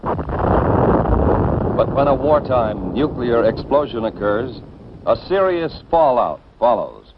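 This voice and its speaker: An American man reading scripted 1950s instructional film narration in an authoritative, deliberate manner, addressing the listener directly and emphatically. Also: mid-range voice, very animated, noisy background. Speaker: narrator of civil defense educational film. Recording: dull band-limited vintage film soundtrack.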